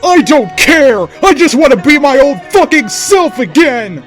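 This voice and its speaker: A man's voice: extremely high pitch, big pitch swings, measured pace, fairly bright tone, faint music behind, voice pushed up, heavily acted. A man voicing an angry, brooding young male mutant hunter in a melodramatic, sweary storybook reading. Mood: screaming rage. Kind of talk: dramatic fan fiction reading.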